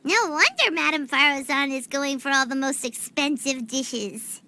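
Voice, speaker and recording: A woman voicing a tiny, childlike floating companion in a video game, cheeky and nosy, with a squeaky, exclamatory delivery. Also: normal speed, pushed and bright, slight background noise. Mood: teasing, inquisitive. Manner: exclamatory, teasing. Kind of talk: video game cutscene acting. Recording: clear music behind voice.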